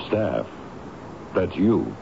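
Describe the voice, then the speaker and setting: A gruff, unsentimental man of a radio play, with a very low voice and slow, dismissive delivery. The recording is dull and noisy. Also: sing-song, heavily acted. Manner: curt, sardonic, dismissive. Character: gruff, hard-hearted male tenant, scornful and unsentimental. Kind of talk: old-time radio drama acting.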